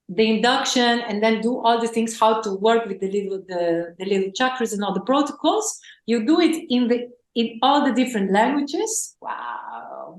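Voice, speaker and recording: Effusive higher-voiced woman, echoey room. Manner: effusive, rambling, frequent restarts. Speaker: guest, spiritual teacher. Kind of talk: enthusiastic interview talk.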